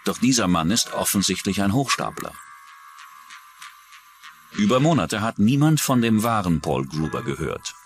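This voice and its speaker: Deep-voiced male true-crime narrator over music. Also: very animated, normal speed, long pauses. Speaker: documentary narrator. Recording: music under the voice. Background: background music.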